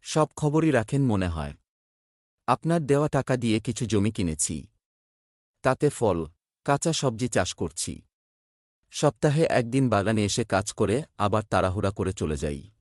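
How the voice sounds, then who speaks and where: A man's voice: on the low side, lively intonation, measured pace, pauses often, a little mellow, no echo, studio quality. A man playing an honest, humble, hard-working village farmer in a cartoon, earnest and plain-spoken.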